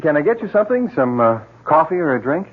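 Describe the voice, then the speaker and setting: An actor playing a calm, reassuring, friendly family friend in a 1970s radio drama, with a husky, conversational voice. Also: male voice, on the high side, quick pace, a little hiss or hum. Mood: welcoming. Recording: muffled vintage radio broadcast.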